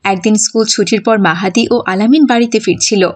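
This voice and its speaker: A woman's voice: mid-range voice, normal speed, a little mellow. A woman narrating a scripted moral story for a children's cartoon.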